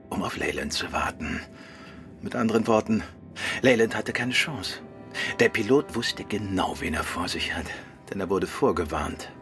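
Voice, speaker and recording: A man playing a shrewd, composed detective in a German radio drama, speaking in a measured, analytical way. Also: on the low side. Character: shrewd, composed male amateur detective, husband.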